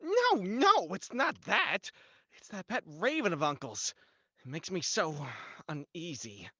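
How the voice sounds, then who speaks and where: A man playing a bright-voiced, nervous henpecked husband. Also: sits high, huge pitch swings, unhurried, no room sound, full character voice. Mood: uneasy, pleading. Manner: anxious, pleading. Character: nervous, hesitant henpecked husband reluctant to kill. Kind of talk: 1940s radio melodrama acting. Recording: slightly dull, lo-fi sound.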